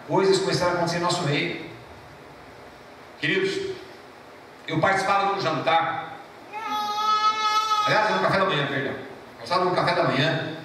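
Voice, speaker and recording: A man with a Brazilian Portuguese accent, a pastor preaching a sermon emphatically, repeating key phrases slowly and telling a story with animated rises and drops. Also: fairly high pitch, slight background noise. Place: church hall. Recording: echoey church from far off.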